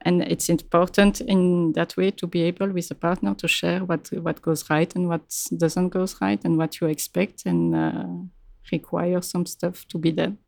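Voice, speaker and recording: A woman's voice: lowish voice, flat delivery, moderate pace, creaky, a little mellow, dry recording, clean studio sound. A woman answering interview questions as a client project leader, in direct, emphatic, clipped phrases.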